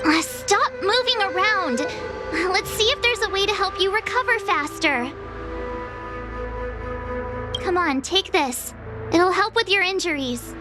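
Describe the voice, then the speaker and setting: A woman's voice: very high voice, animated, moderate pace. A woman voicing a spirited, helpful young girl adventurer in a video game. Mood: concerned. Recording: music under the voice.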